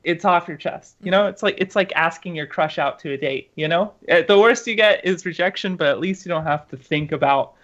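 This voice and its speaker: A woman guest chatting casually on a podcast, halting and searching for words with many fillers. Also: fairly low pitch. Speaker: guest gamer and streamer. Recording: dull lo-fi remote audio.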